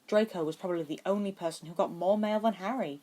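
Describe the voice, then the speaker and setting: A woman's voice: mid-range voice, fast talker, dark tone. A woman reading a story aloud as scripted narration. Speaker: fan fiction podfic reader.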